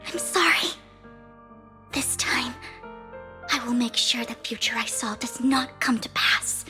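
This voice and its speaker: A woman's voice: a little high, unhurried, pushed and bright, faint music behind. A woman voicing a devoted, haughty young lady in an anime-style game, formal and dramatic. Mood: sorrowful, resolute. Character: devoted, haughty young gothic lady who foresees misfortune.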